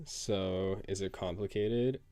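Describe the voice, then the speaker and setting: A man streaming himself coding, thinking aloud in a casual, halting way with many fillers, sounding a little nasal from a cold. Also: deep voice, fairly even intonation, warm tone, clean tone. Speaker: live-streaming programmer. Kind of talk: casual live coding chat.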